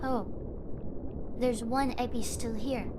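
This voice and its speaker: A woman's voice: fairly high pitch, fairly even intonation, takes it slowly, background noise. A woman voicing a young shark girl idol, bitter and wounded, in a theatrical, dramatic drama delivery. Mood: confused, pleading.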